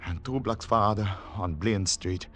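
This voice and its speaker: An actor playing a haunted secret agent on the run, narrating in dramatic, deliberate, clipped phrases in 1940s radio thriller style. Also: male voice, lowish voice, fairly bright tone. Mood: urgent, controlled. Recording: noisy 1940s radio recording with clicks.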